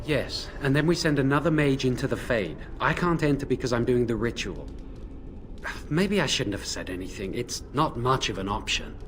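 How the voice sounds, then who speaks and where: A man's voice: medium pitch, expressive, moderate pace, a bit bright, slight background noise, natural voice. A man voicing a remorseful young mage in a video game, apologetic and earnest as he explains a risky ritual.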